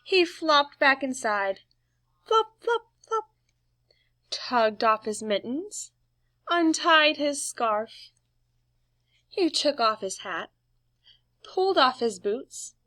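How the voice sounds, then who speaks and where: Animated woman reading aloud to young children. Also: high voice, takes it slowly, long pauses, dry recording. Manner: playful, emphatic sound words. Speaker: storybook reader, teacher. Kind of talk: expressive read-aloud storytelling for young children.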